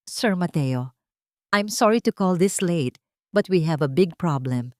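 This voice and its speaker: A woman's voice: fairly low pitch, expressive, a little slow, no echo, clean studio sound. A Filipino woman voicing a worried company finance chief in a story, apologetic and urgent.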